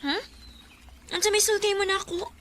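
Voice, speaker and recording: High-voiced, gentle teenage schoolgirl. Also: fairly even intonation, a little fast, slight background noise. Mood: curious. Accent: Cebuano. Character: teenage schoolgirl, gentle, dutiful, playfully frank. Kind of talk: radio drama acting.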